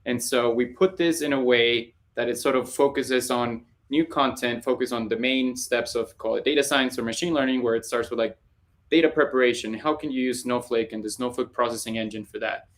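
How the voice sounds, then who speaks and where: A man speaks as a guest on a live panel over a video call. His voice is mid-pitched, and he explains things step by step with plenty of "uh" fillers. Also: steady tone, fairly quick. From Colombia. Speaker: panel guest, data scientist. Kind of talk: conversational explanation.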